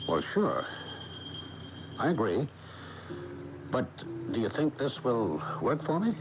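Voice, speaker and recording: A man's voice: medium pitch, big pitch swings, takes it slowly, music in the background. An actor playing a worldly, skeptical American man who tells his own story in a radio drama. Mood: hesitant, skeptical. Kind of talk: 1980 radio drama narration and dialogue. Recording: noisy, muffled AM radio broadcast tape.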